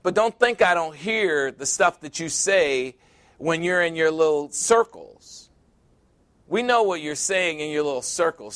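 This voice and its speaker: A man in his sixties preaching a sermon, emphatic and repetitive, building to loud peaks. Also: a little high. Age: 60s.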